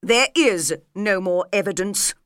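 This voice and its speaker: A woman voicing a stern, impatient king presiding over a trial, loud and commanding. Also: mid-range voice, lively intonation, a little slow, smooth, no room sound, studio quality. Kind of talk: storybook character voice.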